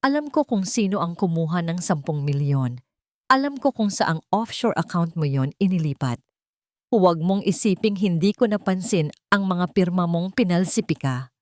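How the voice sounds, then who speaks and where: A woman's voice: on the low side, animated, moderate pace, darkish tone, dry recording, clean studio sound, close to an everyday voice. A woman voicing a wronged wife in a dramatic story, cold, threatening and resolute as she accuses. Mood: accusing. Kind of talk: dramatic storybook dialogue reading.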